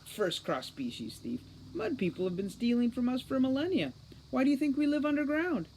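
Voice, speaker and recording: A man's voice: very high voice, animated, fairly quick, darkish tone, slight background noise, pitched above the performer's own voice, poor audio. A man voicing a furious captive female fairy captain in an audiobook, defiant and indignant, spitting out words with contempt. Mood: outraged scorn. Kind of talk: audiobook character reading.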